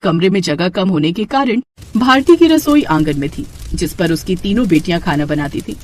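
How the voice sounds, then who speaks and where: A woman's voice: fairly low pitch, very animated, normal speed, a little hiss or hum. A woman narrating a scripted moral tale as a storyteller.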